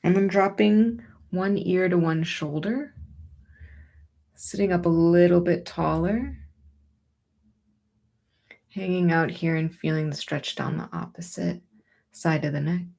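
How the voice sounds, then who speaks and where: A woman teaching yoga and breathing, giving gentle, soothing, unhurried encouragement as she guides each movement. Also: fairly low pitch, vocal fry.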